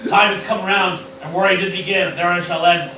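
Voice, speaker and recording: Man acting despairing old scientist, Shakespearean radio parody, halting declamation, very echoey, distant noisy mic. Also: high voice, steady tone. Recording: very echoey, distant microphone, loud noise.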